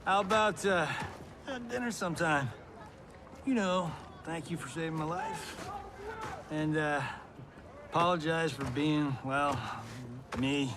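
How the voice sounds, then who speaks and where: An actor voicing a cocky, goofy action star who wisecracks in a video game cutscene. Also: male voice, a little high, slow, pauses often, noisy background. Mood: awkward, hopeful.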